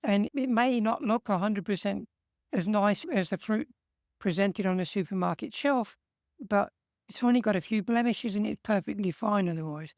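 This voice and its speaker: A man from England with an English accent, co-hosting a casual chat and giving steady, earnest encouragement. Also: sits high, normal speed, dry recording, clean studio sound. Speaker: co-host.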